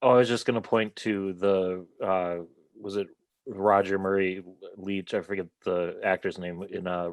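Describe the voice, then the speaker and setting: Low voiced guy unmuting to join a fan panel, hesitant, lots of uhs, dry close sound. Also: little pitch movement, no room sound. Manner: hesitant, halting, many uhs. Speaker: audience member invited to speak. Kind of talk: online panel discussion. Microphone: video call.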